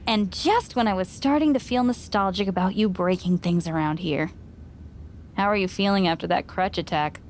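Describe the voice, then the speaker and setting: A woman's voice: mid-range voice, normal speed, a little mellow, slight background noise, lightly acted. A woman voicing a wry female friend in a game, with teasing, dry sarcasm. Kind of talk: game cutscene dialogue.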